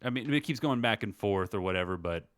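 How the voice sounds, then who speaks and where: A man with a low voice chats casually about politics on a podcast, close and dry. He explains things in a rambling way, often rephrasing as he goes. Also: expressive, quick pace, no room sound. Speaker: podcast co-host.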